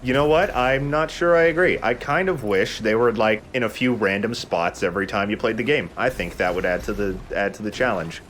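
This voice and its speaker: A man with a fairly low voice hosts a gaming let's play. He chats casually with co-commentators, joking and swearing freely. There is some noise behind him. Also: fairly quick, few pauses, fairly bright tone. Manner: joking, profane. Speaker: let's play host playing a video game.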